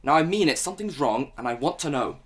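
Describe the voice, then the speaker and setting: A woman's voice: low-pitched, animated, fast, slightly rough. A woman acting as an anxious middle-aged husband and father in a radio drama, blustering and demanding answers. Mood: worried.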